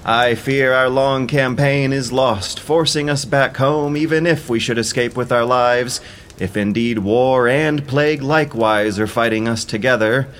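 A man's voice: mid-range voice, measured pace, fairly bright tone, slight background noise, pitched above the performer's own voice, natural voice. A man playing an earnest warrior hero addressing an army in an epic audio drama, oratorical and urgent. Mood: earnest, concerned.

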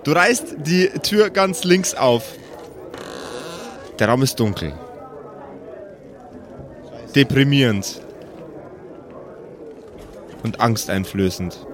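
A man running an improvised tabletop game as game master, describing scenes in a dramatic, deliberate way with pauses. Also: medium pitch, animated.

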